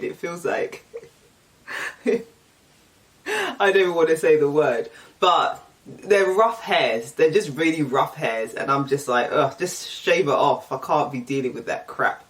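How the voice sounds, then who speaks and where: A woman's voice: low-pitched, distant mic. A young woman with an English accent chatting casually and candidly, reading out and answering get-to-know-me questions. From England. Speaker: vlogger.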